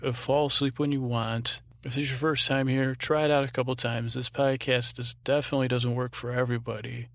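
A man's voice: medium pitch, flat delivery, brisk, hardly any pauses, no echo, clean studio sound. A man hosting a bedtime podcast, talking in a droning, meandering monologue with hesitant false starts. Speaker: podcast host.

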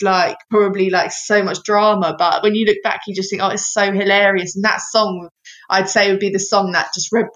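Woman in her thirties, chatty guest, warm and self-mocking. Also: medium pitch, low-quality recording. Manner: warm, giggly, self-deprecating. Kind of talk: casual chat.

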